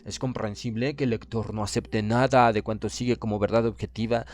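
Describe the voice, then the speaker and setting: Hombre que interpreta a un oficial prusiano solemne y condenado. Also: male voice, fairly low pitch, steady tone, a little fast, darkish tone, faint music behind, close to an everyday voice. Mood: uneasy wonder. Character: proud, doomed Prussian naval officer writing his last account. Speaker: audiobook reader. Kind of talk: dramatic horror audiobook narration.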